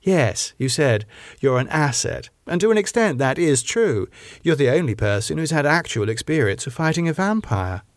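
A man's voice: on the high side, very animated, no room sound, clean studio sound, pitched above the performer's own voice. A man voicing a composed, firm woman in authority. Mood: probing. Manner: measured, polite, insistent. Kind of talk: audiobook character dialogue.

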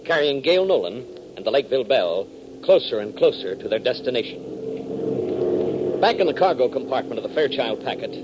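A man's voice: mid-range voice, big pitch swings, a little fast. An American man narrating a radio adventure serial in a dramatic, scripted 1940s style. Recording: faintly noisy 1940s radio recording.

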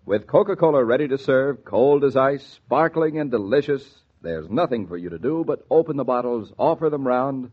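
A man announces in classic 1940s American radio style. His mid-pitched voice is lively and husky. The vintage transcription is thin and tinny. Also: moderate pace, few pauses. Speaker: radio announcer and sponsor's spokesman.

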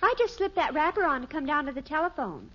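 A high, lively voice on a muffled old radio recording: an American sitcom teenage daughter. She is prim and breezy, sure of herself and stubbornly insistent. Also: fast talker, slight background noise. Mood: breezily innocent. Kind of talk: 1950s radio sitcom acting.

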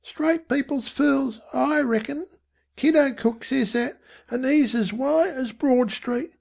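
A woman voicing a defiant, streetwise slum boy with speech and rough slang in an audiobook. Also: high voice, slow, darkish tone, voice pushed up, full character voice. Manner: rough dropped-grammar slang. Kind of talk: audiobook character voice.

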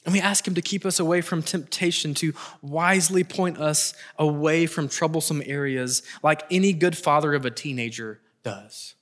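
A middle-aged man preaching a prepared sermon, earnest and emphatic, with deliberate pauses and repeated phrases for weight. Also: fairly high pitch, a bit bright.

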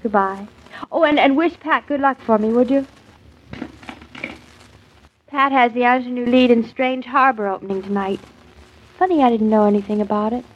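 A woman's voice: fairly high pitch, normal speed, long pauses, slight background noise, natural voice. An actress playing a naive, playful young wife in a 1940s radio drama, with a girlish, guileless way of talking. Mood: polite, surprised. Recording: dull, lo-fi 1940s radio broadcast.

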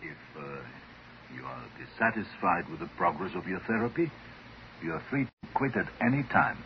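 An actor playing a controlled, detached psychoanalyst in a 1950s radio drama, speaking in a measured, clinical way. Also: male voice, low voice, very animated. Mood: cool, clinical. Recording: dull, hissy 1950s radio recording.

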